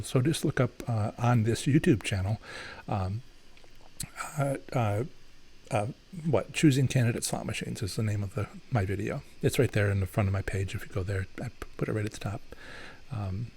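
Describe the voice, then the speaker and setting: Man, lowish voice, live Q&A host, rambling, lots of uh and um, advising viewers. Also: darkish tone, a little hiss or hum. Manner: rambling, many fillers and restarts; explanatory, advising. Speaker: host answering viewer questions. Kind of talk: live Q&A chat.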